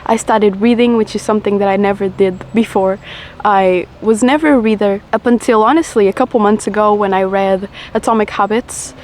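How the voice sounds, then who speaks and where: A young woman in her twenties with a naturally low voice talks in a relaxed, rambling, confessional way while smoking a cigarette. Her pitch sits mid-range, with faint traffic noise outdoors behind her. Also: fairly quick. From Portugal. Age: 20s. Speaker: vlogger. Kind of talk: casual chat to camera.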